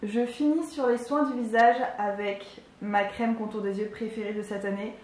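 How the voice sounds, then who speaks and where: A woman's voice: mid-range voice, fairly even intonation, moderate pace, a little mellow, echoey room, rough recording. A woman in her twenties chatting casually and enthusiastically as a beauty vlogger, with lots of fillers. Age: 20s. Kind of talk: casual product review.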